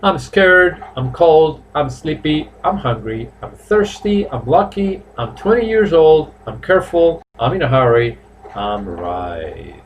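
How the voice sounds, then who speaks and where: Teacher, fifties, higher voice, slow drills, webcam room with faint noise. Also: male voice, big pitch swings, dull audio. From Spain. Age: 50s. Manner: repeats phrases slowly for drilling, switches between English and Spanish. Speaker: English teacher. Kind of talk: live online class, bilingual English and Spanish. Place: small room at home.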